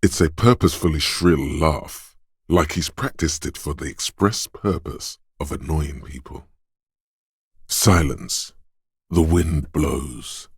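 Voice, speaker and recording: An actor voicing a watchful inner perception voice in a game, speaking slowly and deliberately in a hushed tone. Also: male voice, no room sound, clean studio sound, heavily acted. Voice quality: deep husky voice. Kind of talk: game voice-over lines.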